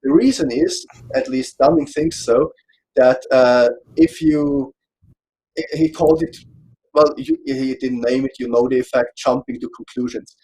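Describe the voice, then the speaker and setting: A man from Austria with an Austrian German accent, German his first language, explaining a psychology topic in a casual online panel, pausing often to search for words. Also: mid-range voice, lively intonation, vocal fry, warm tone, a little hiss or hum, rough recording. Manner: hesitant, searching for words, checks notes.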